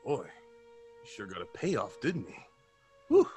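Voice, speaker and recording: A man playing a breezy, slangy, excitable pal in a 1930s-style old time radio drama. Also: fairly low pitch, measured pace, faint music behind. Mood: amazed. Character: excitable male pal growing alarmed, breezy 1930s fellow.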